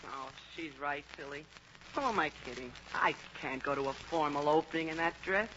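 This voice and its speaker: Sweet, wistful radio-comedy heroine. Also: female voice, deep voice, normal speed, fairly bright tone. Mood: downhearted. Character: sweet, wistful, self-doubting young working woman. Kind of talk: 1940s-50s radio comedy acting. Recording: muffled, noisy old radio recording.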